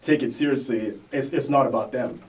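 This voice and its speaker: A man's voice: mid-range voice, a little fast, far from the mic, slight background noise, rough recording. A young man from Canada, a guest rapper talking casually in an interview, loose and laid-back with fillers.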